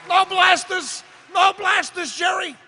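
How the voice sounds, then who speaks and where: A man's voice: very high voice, fairly even intonation, takes it slowly, vocal fry, pushed and bright, raised voice, some chatter behind, heavily acted. A man voicing comic cartoon characters in a live script reading. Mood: frantic. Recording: live convention stage, some background noise.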